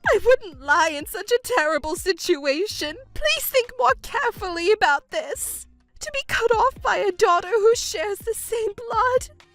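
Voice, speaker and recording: A woman voicing a shrill whiny stepmother. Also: expressive, a little slow, a bit bright, soft background music, heavily acted. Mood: wailing. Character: shrill selfish middle-aged stepmother, self-pitying. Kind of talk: narrated drama dub.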